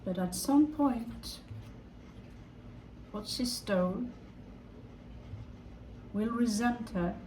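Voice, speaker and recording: A woman from Norway with a Norwegian accent, giving an improvised tarot reading in a halting way, pausing and repeating her phrases. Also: fairly high pitch, darkish tone, a little hiss or hum, low-quality recording. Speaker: tarot reader.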